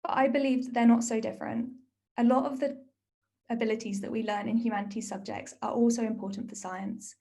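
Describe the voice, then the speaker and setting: A woman's voice: fairly high pitch, steady tone, fairly quick, vocal fry, mellow. A young woman neuroscientist who gives a prepared talk and then answers a question in an earnest, thoughtful way. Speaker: neuroscientist storyteller.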